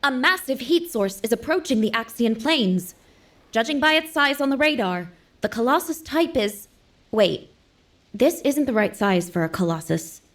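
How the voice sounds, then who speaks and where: A woman's voice: a little high, expressive, normal speed, a bit bright. A woman playing a young, nervous cadet adjutant in a video game, formal, dutiful and a bit hesitant. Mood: tense. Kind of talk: video game dramatic acting.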